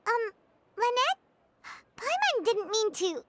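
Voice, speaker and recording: A woman playing a tiny, excitable, childlike fairy companion in a video game, with a squeaky, babyish voice. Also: slow, heavy background noise, exaggerated voice. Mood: apologetic, relieved. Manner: exclamatory, pleading, refers to herself by name. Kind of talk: video game character acting.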